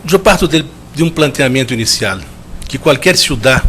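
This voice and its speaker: A man from Brazil speaking with a strong Brazilian Portuguese accent, giving a measured, reflective conference talk and often restarting as he searches for words. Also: medium pitch, animated, bright, forceful tone, a little hiss or hum. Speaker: guest lecturer. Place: lecture hall.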